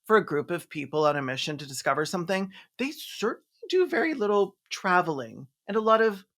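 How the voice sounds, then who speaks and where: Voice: medium pitch, very animated, a little fast, a bit bright. A video essayist narrating a movie recap in a sarcastic, mocking, scripted style with some swearing. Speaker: video essayist and film reviewer.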